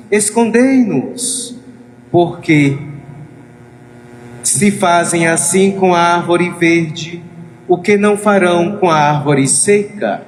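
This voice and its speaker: A man reading the part of a solemn prophet in a dramatized scripture reading, in a measured manner. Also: sits high. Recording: echoey room with faint noise.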